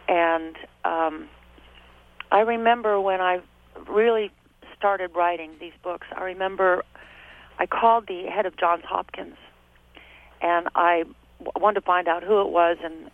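A woman in her sixties, a guest author telling stories in a chatty, animated way during an interview. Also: on the low side, dry recording. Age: 60s. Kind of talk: interview over the phone. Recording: tinny phone line.

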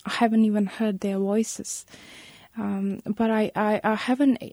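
A Sri woman in her thirties, a guest telling a personal account in an interview, speaking softly and haltingly, with many pauses. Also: mid-range voice, flat delivery, dry recording, studio quality. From Sri Lanka. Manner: soft, halting, breathy delivery with many pauses.

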